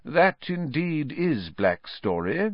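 A man playing a calm old wizard headmaster in an audiobook, quiet, measured and authoritative. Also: on the high side, no echo, dull audio, pitched above the performer's own voice, full character voice.

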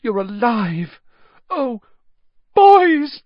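A shrill, tearful mother, frantic with worry and breathless with relief, as a male reader puts her on. The voice climbs high above his own and swings widely. It sounds dull and dry, like a muffled home recording. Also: wildly swooping pitch, unhurried, husky, dry recording, heavily acted. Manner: shrill, sobbing, breathless outbursts. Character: frantic middle-aged mother, tearful and doting. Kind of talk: audiobook character voice.

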